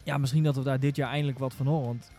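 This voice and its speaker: A man's voice: medium pitch, fairly even intonation, rapid-fire, mellow. Hesitant man over faint music. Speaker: podcast panellist. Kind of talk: casual gaming podcast chat.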